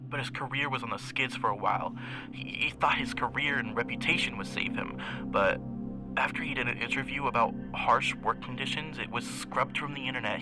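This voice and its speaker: An actor playing a paranoid, haunted former child star confessing haltingly in an interview, his voice modulated to mask it. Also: medium pitch, rough recording. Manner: hesitant, uneasy, halting confession. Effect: voice-masking modulation. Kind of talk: acted confessional radio interview. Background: music.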